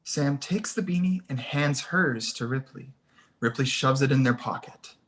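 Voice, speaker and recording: A woman's voice: rumbling low, unhurried. A woman reading screenplay stage directions as the narrator of a table read.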